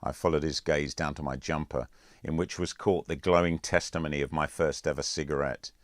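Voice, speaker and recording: A man's voice: very deep voice, fairly even intonation, brisk, dry recording, clean studio sound. A man reading a scripted first-person story as an audiobook narrator. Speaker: author reading own audiobook.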